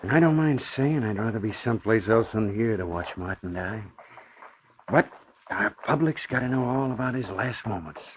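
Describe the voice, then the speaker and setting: An actor playing a jittery, slangy newspaper reporter with punchy talk in 1940s radio acting style. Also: male voice, on the low side, animated, moderate pace, close to an everyday voice. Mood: uneasy. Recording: muffled, hissy old radio recording.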